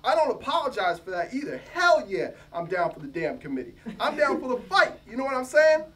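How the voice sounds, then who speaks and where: A man's voice: very high voice, sing-song, a little fast, pushed and bright, voice pushed up, exaggerated voice. A man voicing a brash, confrontational American hip-hop professor in a swaggering, emphatic, slangy way during a book reading. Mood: defiant. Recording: distant mic, faint room noise.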